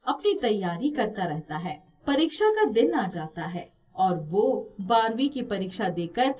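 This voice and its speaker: A woman's voice: medium pitch, very animated, a little slow, a little mellow, far from the mic. A woman reading scripted narration for a moral story as the storyteller.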